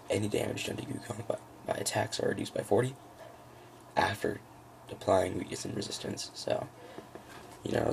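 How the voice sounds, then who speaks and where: A man with a very low, heavily creaky and froggy voice presents his hobby cards casually. He talks off the cuff, halting and thinking aloud. There is faint noise in the recording. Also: a little mellow. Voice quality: froggy, gravelly. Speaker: trading card hobbyist presenting a deck. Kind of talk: casual unscripted card-by-card walkthrough.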